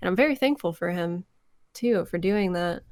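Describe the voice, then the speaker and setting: An American woman with some vocal fry, talking casually as an interview guest and telling stories by acting out conversations. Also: on the low side, normal speed, no room sound. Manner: animated storyteller, re-enacts conversations. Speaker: podcast guest, voice actress. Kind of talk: casual interview chat, anecdotes.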